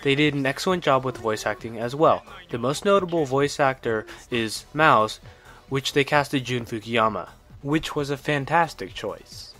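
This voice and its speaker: A man's voice: medium pitch, normal speed, fairly bright tone. A man narrating a scripted video game review in an enthusiastic, opinionated way. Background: background music.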